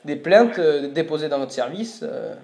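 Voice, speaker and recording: A man's voice: fairly high pitch, animated, normal speed, a little mellow, slight background noise, lightly acted. A man playing a fussy, stammering telecom billing adviser in a prank phone call, formally polite and apologetic yet insistent. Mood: flustered, insistent. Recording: roomy, echoey room sound.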